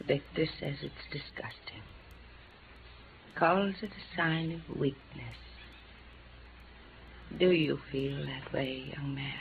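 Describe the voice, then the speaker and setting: Frail vague boozy mom in an old radio play, real slow n creaky, languid and genteel, kinda wistful. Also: female voice, very deep voice, heavily acted. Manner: languid, hesitant, drifting, genteel. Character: frail, vague, wistful invalid mother who drinks, middle-aged. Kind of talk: 1950s radio drama acting. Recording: dull vintage radio recording with noise.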